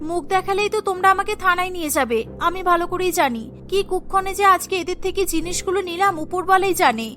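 Woman voicing a bright, high-pitched young bandit woman. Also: fast, soft background music. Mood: anxious. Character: bold young female village bandit, defiant and earnest.

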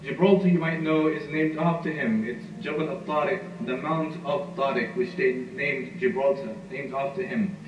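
A man giving a history lecture, telling the story at an unhurried storyteller's pace with frequent "uh" fillers. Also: mid-range voice, fairly even intonation. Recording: muffled, roomy, far from mic, noisy.

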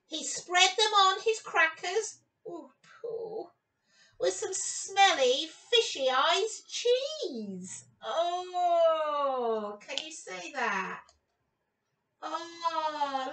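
A woman in her fifties giving an expressive storytime read-aloud for young children, with exclamations and chatty asides. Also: very high voice, very slow, far from the mic, poor audio. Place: small room at home, indoors.

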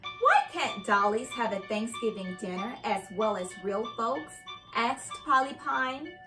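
A young adult woman reading a children's story aloud with expressive, carefully enunciated storytelling delivery. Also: on the high side, measured pace, over music. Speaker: host and reader of children's read-aloud show. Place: indoor living room by a fireplace.

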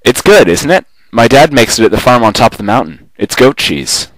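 A man's voice: mid-range voice, very animated, a little fast, slight background noise, lightly acted, low-quality recording. A man voicing a proud, determined young dreamer who flies gliders, played earnestly for an anime fan dub. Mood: enthusiastic. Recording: prominent music behind the voice.

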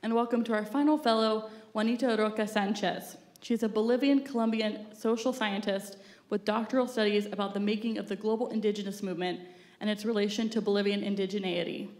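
A woman's voice: mid-range voice, steady tone, brisk, darkish tone. A woman at a podium reading formal speaker introductions on a theater stage. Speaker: event host introducing speakers. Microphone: podium mic. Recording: roomy theater sound.

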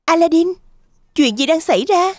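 A woman's voice: extremely high pitch, expressive, normal speed, dry recording. A woman playing a sweet, naive young princess in a children's cartoon dub. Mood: surprised.

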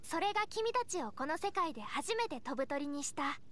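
A woman's voice: high-pitched, big pitch swings, moderate pace, fairly bright tone, slight background noise. A woman playing a cheerful, eager young knight scout in an anime game, girlish, chirpy and earnest. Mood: bashful, earnest. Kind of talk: Japanese anime game voice acting.

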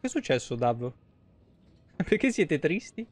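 A man's voice: on the high side, sing-song, slow pace, a little mellow, faint music behind. A man in his thirties, a game streamer talking casually and informally in speech on a close mic. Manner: informal, crude slang. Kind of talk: casual live commentary.

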